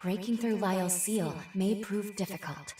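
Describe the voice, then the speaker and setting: A woman voicing a thoughtful, analytical researcher who reasons aloud in a measured way in a game cutscene. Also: medium pitch, dark tone, a little hiss or hum, natural voice. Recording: roomy, slightly distant, light music.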